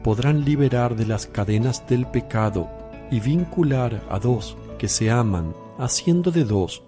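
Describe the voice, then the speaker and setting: Male actor, calm solemn religious teacher, slow deliberate phrasing, warm dark timbre, radio drama. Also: mid-range voice, faint music behind, natural voice. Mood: solemn teaching.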